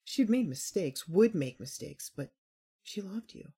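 A man narrating a dramatic second-person story in a solemn, deliberate, emphatic way. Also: sits high, expressive, warm tone, breathy edge.

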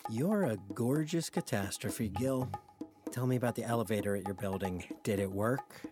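A man's voice: on the low side, moderate pace, music in the background, natural voice. A man acting as a cynical, jaded ad man in a comedic audio drama, melodramatic and profane as he needles his best friend. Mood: sardonic.

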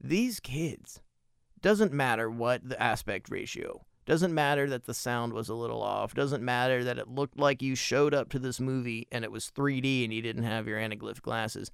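A man's voice: medium pitch, normal speed, vocal fry, clean tone, no echo, clean studio sound. A man hosting a podcast, telling personal stories and opinions in an emphatic, profane way. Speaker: podcast host. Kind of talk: spoken podcast commentary and personal anecdote.

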